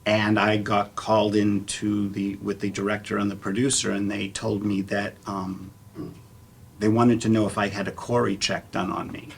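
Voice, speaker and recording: A man speaking as a theater panelist, sharing personal anecdotes in a halting way, often repeating words. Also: on the low side, level tone. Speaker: panelist, community theater actor. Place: public panel event, live audience. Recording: clear background room noise.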